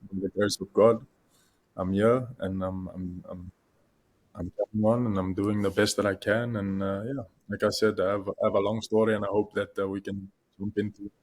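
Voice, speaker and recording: A young man from South Africa speaks in a low, level voice as a podcast guest. He talks in a hesitant way, full of fillers and restarts. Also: little pitch movement, normal speed, darkish tone, low-quality recording. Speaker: guest, content creator. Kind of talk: podcast interview.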